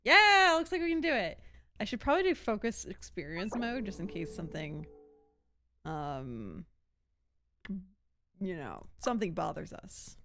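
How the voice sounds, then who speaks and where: A woman's voice: medium pitch, very animated, a little slow, long pauses, bright, forceful tone, faint music behind. A young woman chatting casually and informally as she streams a video game, rambling and giggly. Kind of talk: casual livestream chat and game reactions.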